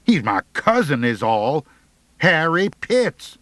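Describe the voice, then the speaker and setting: A squeaky, mean backwoods teenage girl, put on by a male narrator. She snaps her words curtly in rustic storybook style, pitched up and lively, in a dry recording. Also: slow pace, no echo, heavily acted. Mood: accusing. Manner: snapping, curt, rustic grammar.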